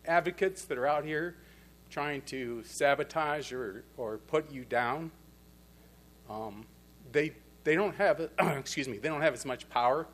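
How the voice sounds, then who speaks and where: A man's voice: mid-range voice, fairly bright tone. A man speaking at a conference panel, presenting and urging his listeners in a plain-spoken, earnest way. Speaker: conference presenter on the pork industry. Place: conference hall.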